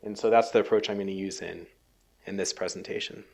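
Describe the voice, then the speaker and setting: A man giving a technical research lecture, halting and full of ums and restarts, his voice a little worn. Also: low-pitched, fairly even intonation, gravelly, darkish tone. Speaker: scientist giving a research talk.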